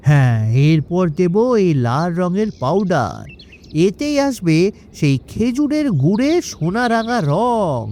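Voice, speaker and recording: A man acts out a cocky village trickster in a cartoon. His fairly high voice swings up and down as he boasts, wheedles and brushes off any objection, always scheming for easy money. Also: mellow, a little hiss or hum, exaggerated voice. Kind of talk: animated cartoon dialogue.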